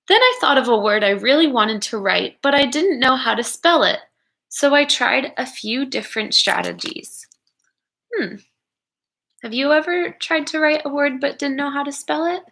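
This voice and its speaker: A woman educator reading a picture book aloud to children, expressive and encouraging, pausing to wonder aloud and speak to her listeners. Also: on the high side, gravelly, poor audio.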